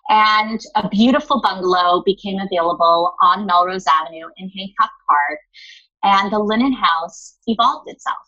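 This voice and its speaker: A woman's voice: medium pitch, normal speed, bright, forceful tone, muffled recording. A woman who runs a linen store, chatting in a podcast interview and often calling the listener honey. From Los Angeles area.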